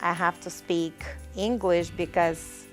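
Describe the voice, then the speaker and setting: Bulgarian lady answering questions real slow, broken english, fixes herself a lot, faint music underneath. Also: lowish voice, steady tone. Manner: halting, simple learner's English with self-corrections. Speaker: interviewee. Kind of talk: casual interview answers.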